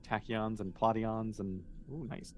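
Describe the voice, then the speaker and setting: A man's voice: on the low side, steady tone, a little slow, slight background noise. A man running a tabletop role-playing game as game master, explaining things in a casual, encouraging way.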